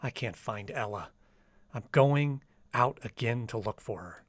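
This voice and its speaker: A man's voice: medium pitch, lively intonation, measured pace, natural voice. A man playing an anxious, sensitive young man in a radio drama, speaking in an earnest, faltering, dramatic way. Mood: dread, bitter. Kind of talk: old-time radio drama acting with diary narration.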